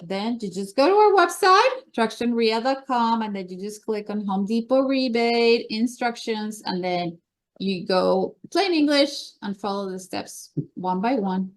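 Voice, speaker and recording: A woman's voice: on the high side, animated, a little slow. A woman with a Colombian accent hosting a meeting, presenting slides with chatty enthusiasm. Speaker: meeting host. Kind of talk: upbeat meeting announcements.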